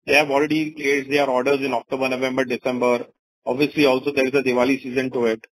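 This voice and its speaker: A man's voice: mid-range voice, slightly rough, poor audio. A man with an Indian accent answering investors as a company executive on an earnings call, wordy and often saying "you know".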